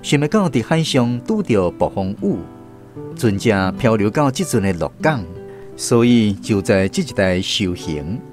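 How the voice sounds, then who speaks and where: A man with a Taiwanese Hokkien accent narrating a scripted radio story in an expressive storyteller's style. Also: lowish voice, measured pace, music in the background.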